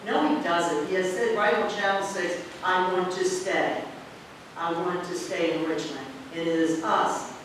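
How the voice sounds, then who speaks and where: A man's voice: high voice, steady tone, a little slow. An older man, a city council member giving an impassioned, repetitive speech in a council chamber. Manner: fervent, repetitive, rising to loud pleas. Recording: echoey far-off chamber audio with noise.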